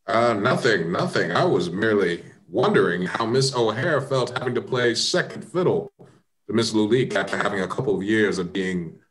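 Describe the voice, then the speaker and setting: Man as a low-voiced, composed detective inspector. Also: lively intonation, a crowd in the background, lightly acted, rough recording. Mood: probing, composed. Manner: measured, polite, questioning with pauses. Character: probing, composed American police detective inspector. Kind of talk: amateur radio mystery acting.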